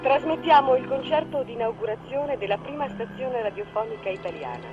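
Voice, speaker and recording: A woman's voice: fairly high pitch, expressive, brisk, dull audio. A woman making a formal, declamatory radio announcement in 1920s style. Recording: band-limited old radio broadcast with music.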